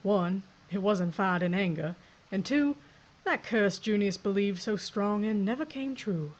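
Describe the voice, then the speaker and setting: A woman's voice: mid-range voice, animated, lo-fi sound. A woman playing a tough frontier sheriff. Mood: reflective. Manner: brisk and commanding, orders in short lines. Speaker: narrator. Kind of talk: audio drama acting.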